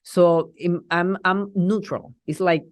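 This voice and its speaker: A woman from Rico speaks English, in a low, dry voice. She is a middle-aged TV journalist, lively and halting as she restarts her sentences in a casual interview. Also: no room sound. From Puerto Rico. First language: Spanish. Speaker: TV journalist guest.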